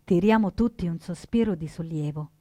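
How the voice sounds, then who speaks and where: A woman playing an earnest, proud young partisan who narrates a scripted stage drama with deliberate, dramatic phrasing. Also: fairly low pitch, dark tone, no echo, lightly acted. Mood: tense. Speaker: storyteller narrator.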